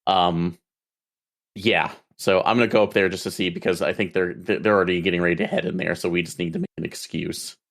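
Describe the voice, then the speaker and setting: A man playing a tabletop role-playing game, speaking casually in character in a halting, tentative way. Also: low-pitched, steady tone, creaky.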